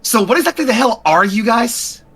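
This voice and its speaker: A man's voice: animated, moderate pace, fairly bright tone, breathy edge, faint music behind, exaggerated voice. A man voicing a panicked human woman who swears at the strangers in a tabletop game. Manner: alarmed, swearing, shrill.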